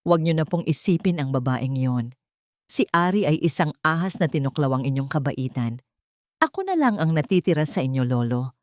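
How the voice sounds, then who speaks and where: A woman acts out a young audio drama villainess with a low female voice. She presents herself as innocent and devoted, with calculation and spite underneath. The recording is close and dry. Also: big pitch swings, a little fast, no echo. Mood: sweetly manipulative. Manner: gentle coaxing that turns to shrill outrage. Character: sweet-talking scheming young woman, falsely innocent, vicious when cornered.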